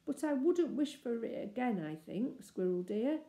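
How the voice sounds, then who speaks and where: A woman's voice: fairly high pitch, lively intonation, a little slow, warm tone, roomy sound, pitched above the performer's own voice. A woman voicing an earnest, kindly young girl in a storybook, in a thoughtful, polite manner.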